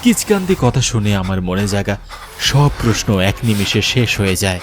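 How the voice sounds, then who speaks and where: A man's voice: fairly low pitch, very animated, moderate pace, slight background noise. A man playing a frightened young man, a betrayed lover telling his horror story in an earnest, dramatic first-person narration. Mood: tense narration.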